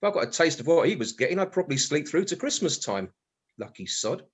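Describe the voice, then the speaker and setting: Husky, grumbling British husband. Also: male voice, a little high, sing-song, fast talker. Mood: startled, envious. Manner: sardonic, grumbling, exclamatory. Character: grumbling, wry British husband, bored amateur stargazer. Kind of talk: radio play comedy acting, muttered monologue.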